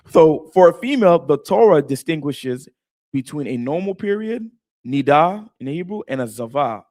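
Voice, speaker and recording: A man co-hosting a Bible study talk, explaining in a casual, animated way and stumbling over words at times. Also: on the high side, a little slow, pauses often. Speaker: co-host teaching scripture.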